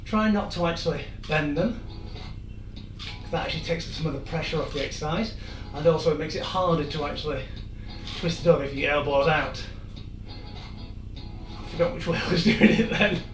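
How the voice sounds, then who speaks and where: A man, hoarse and losing his voice, leading a live-streamed workout with chatty, encouraging asides. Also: fairly high pitch, fairly bright tone, recorded from a distance. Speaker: fitness instructor. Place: room in an old house. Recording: echoey room, off-mic, music behind.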